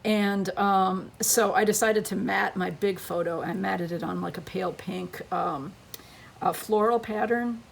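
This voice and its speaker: A woman's voice: medium pitch, steady tone, a little slow, vocal fry, slight background noise. A woman who is losing her voice gives a chatty scrapbooking tutorial, thinking aloud as she works at her crafting table.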